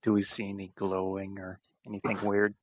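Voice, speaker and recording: A man's voice: deep voice, completely flat delivery, a little fast, no echo. A man playing a tabletop game, chatting casually about his character and the scene. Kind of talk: casual chat.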